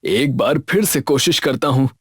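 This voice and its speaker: A man voicing a bumbling, hapless magician uncle in an animated children's story. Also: mid-range voice, moderate pace, breathy edge, no echo, studio quality. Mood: frustrated.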